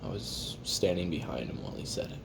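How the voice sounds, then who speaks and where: A man's voice: animated, brisk, creaky, full character voice. A man voicing a jaded, hard-drinking assassin recording his memoirs in a wry, profane, self-deprecating, rambling confession for an audio roleplay. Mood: subdued, reflective. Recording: audible hiss and low rumble.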